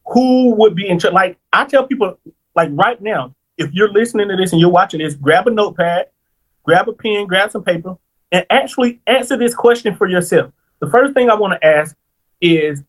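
Man, 30s, high voice, financial planner guest, chatty and lively, keeps checking in with the listener. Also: poor audio. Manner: lively, explains step by step with repeated "right?" checks. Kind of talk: casual podcast conversation.

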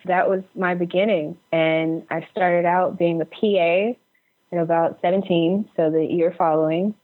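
A woman's voice: on the low side, fairly even intonation, measured pace. A young woman from Houston, Texas, talking conversationally as an interview guest on a lo-fi connection. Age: young adult. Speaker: interview guest, actor and director.

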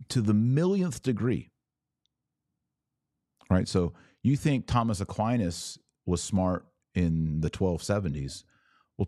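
A man with an American accent speaks in a deep register, recorded close and dry. He hosts a podcast of informal religious teaching, explaining points with firm emphasis. He often poses a rhetorical question and then answers it himself. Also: darkish tone, dry recording. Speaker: podcast host answering viewer comments.

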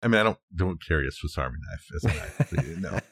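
Deep-voiced man in relaxed podcast talk. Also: fast, husky, no room sound, clean studio sound. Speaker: podcast co-host. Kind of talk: casual conversational storytelling and discussion.

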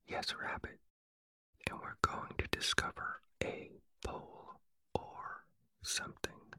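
A storyteller acting out an eager, muddle-headed little boy bear from a storybook. He speaks in slow, wondering whispers, bright and very dry. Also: no room sound. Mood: eager, muddled. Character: naive, eager, muddled male toy bear.

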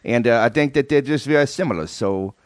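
A man's voice: medium pitch, fairly quick, dry recording, lightly acted. A man voicing a rambling, eccentric chef with an in an improvised comedy interview, stammering and insistent. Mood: insistent, defensive. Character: rambling eccentric Creole chef promoting his allergy cookbook.

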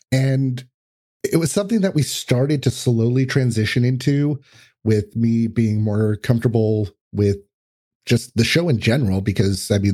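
A man's voice: mid-range voice, dark tone. A man speaking as a podcast guest, explaining things in casual, conversational interview chat.

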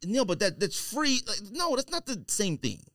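A man's voice: high voice, huge pitch swings, moderate pace, a bit bright, dry recording, studio quality. An American man hosting a casual podcast, arguing his points emphatically and repeating himself. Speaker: podcast host. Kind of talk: casual podcast chat and debate. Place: indoor podcast studio.

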